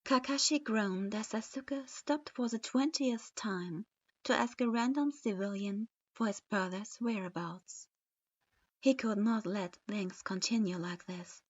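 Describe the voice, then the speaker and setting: A woman reading a comedic fan story aloud in a measured, deadpan manner. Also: mid-range voice, no echo. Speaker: fanfiction reader. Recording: dull lo-fi recording.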